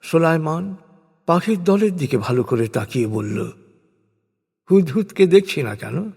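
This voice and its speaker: A man narrates scripture in a solemn, scripted style, his voice set a little high. He moves slowly and deliberately, phrase by phrase. A long, hall-like reverb trails each segment. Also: expressive, creaky, dark tone, husky.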